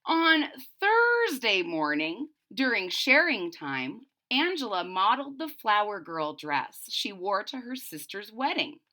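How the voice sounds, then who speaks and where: A woman's voice: mid-range voice, very animated, slow pace, hardly any pauses, bright, forceful tone. A woman reading a children's storybook aloud expressively and explaining it to young listeners in asides. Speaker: storybook reader.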